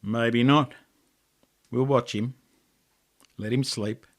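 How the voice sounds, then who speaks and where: A man voicing a calm, reassuring old grandfather in a story, quiet and unhurried, with an Australian accent. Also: mid-range voice, pitched below the performer's own voice. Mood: calm, patient.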